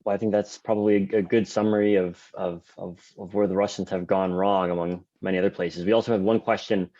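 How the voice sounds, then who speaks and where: A male moderator with a low, flat voice guiding a panel over a video call. He speaks in a measured, halting way with frequent fillers. The audio is dull and lo-fi.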